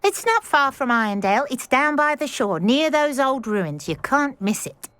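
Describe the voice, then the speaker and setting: Chatty, animated genteel older widow. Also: female voice, high-pitched, normal speed, dry recording. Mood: kindly, wistful. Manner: genteel, wordy, formal. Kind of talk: video game dialogue acting.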